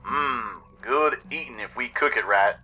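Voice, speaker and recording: A man's voice: medium pitch, a little slow, sharp tone, lo-fi sound, a little hiss or hum. A man voicing a folksy, insistent male companion in a radio adventure. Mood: relishing.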